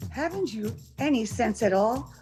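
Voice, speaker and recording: A bossy, scornful, hard-headed woman played by an actress from a vintage radio horror drama. Her fairly high voice is sharp-tongued and impatient, with faint music behind it. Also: lively intonation, unhurried. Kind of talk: 1930s radio horror acting.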